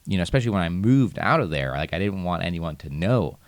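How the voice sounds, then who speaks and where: A man's voice: low voice, expressive, fast talker, no room sound. An American man from Philadelphia, a podcast guest speaking thoughtfully, with some hesitation, in casual conversation. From United States, Philadelphia.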